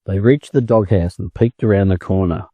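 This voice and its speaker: A man with an Australian accent reading a children's story aloud in an expressive narrating style. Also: sits low, normal speed, no echo. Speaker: storybook reader.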